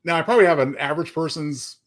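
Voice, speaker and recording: A man's voice: on the high side, fast. A man co-hosting a radio show in casual, conversational chat.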